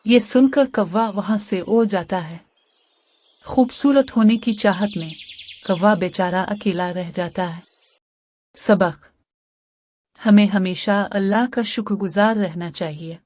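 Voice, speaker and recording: A woman's voice: mid-range voice, takes it slowly, long pauses, darkish tone. A woman narrator reading a scripted children's cartoon story. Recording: faint background noise.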